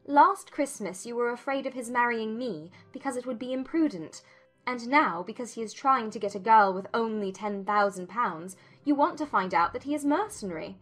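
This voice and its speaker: A woman voicing a witty, defiant young gentlewoman in a dramatized classic novel, speaking in arch, formal, exclamatory phrases. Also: on the high side, moderate pace, voice pushed up. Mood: arch, challenging.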